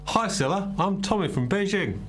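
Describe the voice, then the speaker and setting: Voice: mid-range voice, expressive, moderate pace, soft background music, close to an everyday voice. A performer voicing a cheeky male club owner on a dating show. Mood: breezy.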